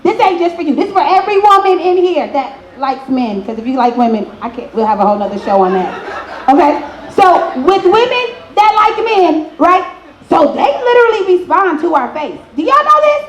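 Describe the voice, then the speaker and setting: A woman hosting a live relationship talk, speaking in an animated, direct way and checking in with her listeners. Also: extremely high pitch, normal speed. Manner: animated, direct, asks the room to confirm. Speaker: host of a relationship therapy talk show. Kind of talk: lively advice talk to an audience. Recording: roomy, echoing live event space.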